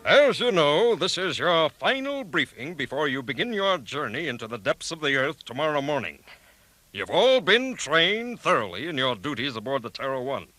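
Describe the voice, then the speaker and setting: A jovial senior general in an audio drama. He declaims like an officer at a briefing, with a bright, forceful tone and sweeping intonation. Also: a little high, sing-song, normal speed, no room sound, poor audio. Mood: proud, official.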